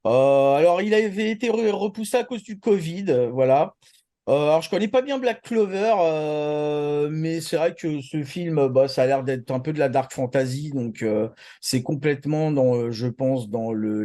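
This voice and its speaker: Mellow man in his forties. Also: on the high side. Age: 40s. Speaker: talk show co-host presenting anime news. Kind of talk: casual panel chat.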